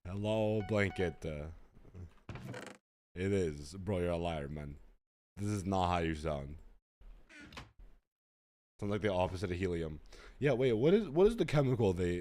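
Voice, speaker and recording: Very deep, hoarse sick gamer guy. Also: a little mellow, slight background noise. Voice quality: rough, hoarse. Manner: laid-back slang, joking with chat. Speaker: streamer. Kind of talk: casual gaming stream chat.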